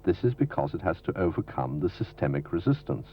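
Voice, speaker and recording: A man with a very low voice narrates an instructional medical film in a formal, didactic way. His measured delivery moves through a lively range of pitch. The sound is dull and lo-fi, like a 1970s film soundtrack copied from videotape. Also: slight background noise. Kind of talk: scripted educational narration.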